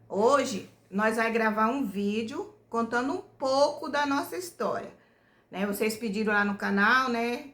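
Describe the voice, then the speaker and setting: A woman's voice: on the high side, fairly bright tone. A middle-aged woman from Bahia, telling her life story to her viewers in a homespun, rambling way, tagging nearly every phrase with "né?". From Bahia, Brazil. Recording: echoey room.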